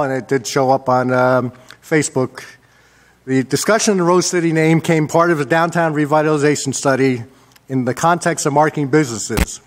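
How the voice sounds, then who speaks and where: A man in his sixties with an American accent gives measured mayoral remarks at a public meeting, pausing often as he works from notes. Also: mid-range voice. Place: indoor council chamber. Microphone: gooseneck desk microphone.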